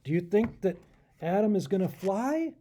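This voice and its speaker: Animated, husky man reading to children. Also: high voice, normal speed. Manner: animated, expressive, explains pictures to young listeners. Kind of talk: children's storybook read-aloud with asides to listeners.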